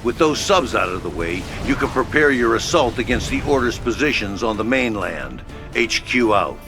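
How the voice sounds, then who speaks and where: A brisk, gruff male HQ officer, voiced by an actor in a strategy game. He gives curt, matter-of-fact orders at a middle pitch, over loud music. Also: very animated, close to an everyday voice. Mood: curt, calm. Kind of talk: video game mission control acting. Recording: loud music and engine noise behind.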